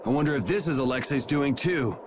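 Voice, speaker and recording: An actor voicing a steady young man in a game cutscene, speaking calmly and matter-of-factly. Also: mid-range voice, fairly quick, background noise, natural voice. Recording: game audio with faint music.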